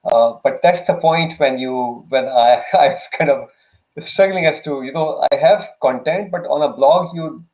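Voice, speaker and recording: A man's voice: on the high side, unhurried, darkish tone. A man whose first language is Urdu, speaking as a guest in a casual interview, hesitant with many fillers and restarts. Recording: tinny phone-like line.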